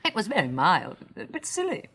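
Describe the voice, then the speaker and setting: Animated young Englishwoman, expressive delivery. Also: medium pitch, fairly quick, breathy edge, full character voice. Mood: candid, amused. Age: young adult. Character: young Englishwoman novelist, candid, wry and spirited. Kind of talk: radio drama acting.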